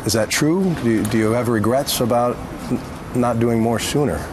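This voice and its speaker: A man's voice: mid-range voice, moderate pace, background noise. A man working as a reporter, putting questions in an on-location interview.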